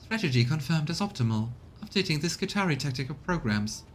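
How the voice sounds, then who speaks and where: A man voicing a cold, calculating machine strategist with clinical, formal delivery, as in a game, over faint rumbling noise. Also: very animated, a little fast. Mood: detached. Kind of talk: video game character reading.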